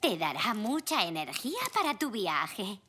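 A woman's voice: mid-range voice, very animated, measured pace, bright, forceful tone, breathy edge, exaggerated voice. A woman voicing a kindly, indulgent, older zebra grandmother in a preschool cartoon. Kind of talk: dubbed preschool cartoon acting.

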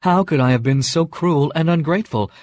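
A man's voice: brisk, darkish tone, no room sound, close to an everyday voice. A man voicing Beauty, a grieving young woman. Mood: remorseful. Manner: high, crying, anguished delivery. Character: Beauty, distraught young woman, tender-hearted.

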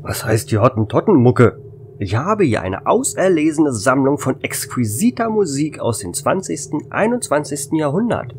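A man's voice: on the high side, big pitch swings, normal speed, a little mellow. A man playing a wry, easygoing space station worker in a German radio play, with a casual, teasing manner. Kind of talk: amateur radio drama acting. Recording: faint noise and hum.

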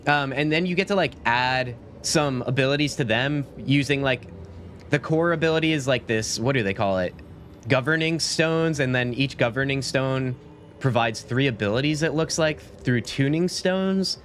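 A man's voice: medium pitch, normal speed, a bit bright, a little hiss or hum. Laid-back male streamer, sick-day voice. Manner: laid-back, rambling, says "like" and "dude" a lot. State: sick, voice slightly deeper. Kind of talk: casual game stream chat. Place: small home room. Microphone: studio mic at desk, webcam framing. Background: game music.

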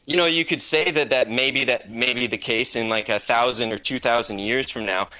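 A man's voice: mid-range voice, normal speed, pushed and bright, low-quality recording. A man calling into an online debate, arguing insistently with many restarts and repeated words. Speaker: debate caller.

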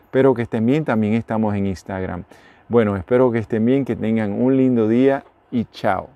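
A man's voice: fairly low pitch, brisk, no room sound, narrow, tinny audio. A middle-aged man with a Puerto Rican accent, chatting casually to his phone camera inside a motorhome, rambling and correcting himself as he goes. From Puerto Rico. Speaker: vlogger. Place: inside a motorhome, small room. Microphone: phone at arm's length.

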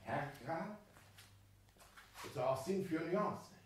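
An actor in a 1960s German radio play, playing a condescending aristocratic count with ornate, formal speech. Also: male voice, on the high side, slow. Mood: gracious, approving. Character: courtly aristocratic count and father, condescending, old-school.

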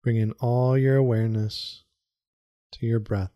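A man leading a guided meditation in a soothing, unhurried manner with long pauses and repeated phrases. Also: mid-range voice, fairly even intonation, dry recording, lo-fi sound. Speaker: meditation guide, yoga teacher. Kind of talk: guided meditation, calm instruction.

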